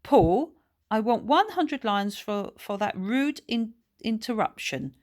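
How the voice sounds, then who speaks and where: A woman's voice: a little high, animated, slow pace, darkish tone, pitched above the performer's own voice. A woman voicing a polite, strict but encouraging female schoolteacher, projecting primly as if to a class, in a children's story. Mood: stern. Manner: projected, prim, addressing a class.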